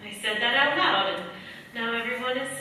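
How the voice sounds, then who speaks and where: A woman's voice: a little high, brisk, sharp tone. Woman acting a chatty flustered wartime factory girl in a radio play, far from the mic, big hall reverb. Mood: self-conscious. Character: earnest, flustered young American factory worker woman, chatty. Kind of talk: staged 1940s-style radio play acting. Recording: echoey hall, distant stage mic, faint noise.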